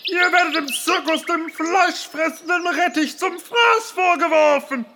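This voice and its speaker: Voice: very high voice, a little slow, music in the background. A performer voicing a pompous, menacing Roman soldier in a comedic audio sketch, declaiming grandly. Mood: pompous, threatening. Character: bombastic Roman soldier guarding a forest, menacing captor.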